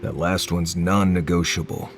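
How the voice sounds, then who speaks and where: An actor playing a grudging, dry-witted wilderness guide in a video game, curmudgeonly and sardonic. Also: male voice, sits low, level tone, normal speed, soft background music, close to an everyday voice. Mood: grudging, deadpan. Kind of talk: conversational video game voice acting.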